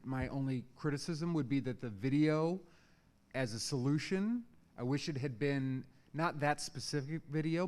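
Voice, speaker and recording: A man's voice: mid-range voice, darkish tone, clear, resonant tone. A man, a teacher in the audience, giving an informal critique, rephrasing as he goes and checking that listeners follow.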